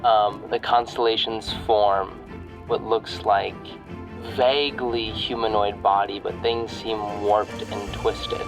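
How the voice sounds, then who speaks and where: A man giving descriptive tabletop game narration in a deliberate, restrained way. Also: on the low side, little pitch movement, low-quality recording. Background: music bed.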